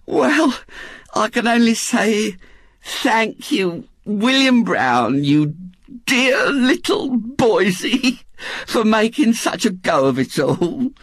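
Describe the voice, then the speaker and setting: A man's voice: high-pitched, very animated, slow, a bit bright, no echo, pitched above the performer's own voice, full character voice. A man voicing a plump, middle-aged woman in a storybook, gushing and breathless with easy delight. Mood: fervently grateful.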